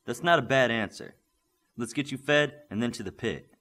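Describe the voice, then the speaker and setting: A man's voice: mid-range voice, normal speed, a bit bright, natural voice. A man playing a patient, firm superhero mentor in an audiobook, coaching and instructing steadily. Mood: patient, encouraging.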